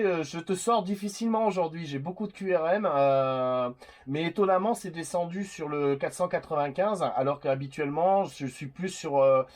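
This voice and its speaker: A man with a slightly high voice talks casually over a radio set as an amateur operator. He rambles and hesitates, feeling his way through his sentences in an informal exchange. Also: darkish tone. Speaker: CB radio operator making videos.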